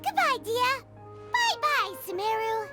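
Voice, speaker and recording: A woman's voice: very high-pitched, sing-song, unhurried, pushed and bright, full character voice. A woman voicing a tiny, chirpy, childlike fairy companion in a video game, talkative and exclamatory. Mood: wistful farewell. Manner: refers to self in third person, exclamatory. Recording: background music.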